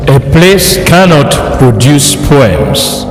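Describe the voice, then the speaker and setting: A man narrating a poetic city promo in a slow, reflective, measured way. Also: medium pitch, huge pitch swings, reverberant, in a large hall, over music.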